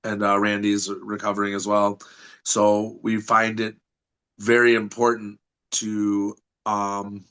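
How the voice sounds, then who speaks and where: A man's voice: lowish voice, completely flat delivery, takes it slowly, a bit bright, clear, resonant tone. A man from Michigan with a Michigan accent, a wrestling school head talking as a podcast guest, confident and promotional, often restarting his sentences. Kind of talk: podcast interview.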